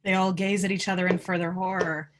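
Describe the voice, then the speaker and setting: A woman's voice: fairly low pitch, level tone, fast talker, slight background noise. A woman reading stage directions as narrator in a live table read of a script.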